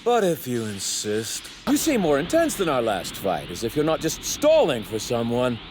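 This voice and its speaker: An actor playing an arrogant, self-righteous god who scorns mortals, with pompous, formal diction in a theatrical anime dub. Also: male voice, medium pitch, huge pitch swings, moderate pace. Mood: condescending. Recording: noisy clip with overlapping sound effects. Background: anime sound effects.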